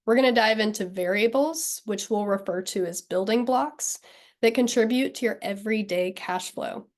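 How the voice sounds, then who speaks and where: A woman in her thirties from Texas leads a webinar in an upbeat, well-organized way. A cold leaves her voice slightly nasal, and her pitch sits in a middle range. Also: moderate pace. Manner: upbeat and friendly, clear and organized. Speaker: webinar presenter. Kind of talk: scripted webinar presentation.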